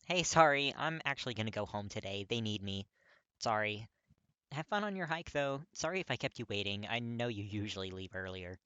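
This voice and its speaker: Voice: mid-range voice, expressive, a little fast, sharp tone, clean tone, no room sound, muffled recording. A narrator voicing a friendly, eager young man with a bouncy, casual manner in an audio story. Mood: apologetic.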